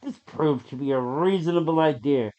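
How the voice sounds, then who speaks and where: A man reading a horror story aloud as a dramatic narrator, with deliberate, suspenseful delivery. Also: a little high, mellow. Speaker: story reader. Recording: dull, lo-fi sound.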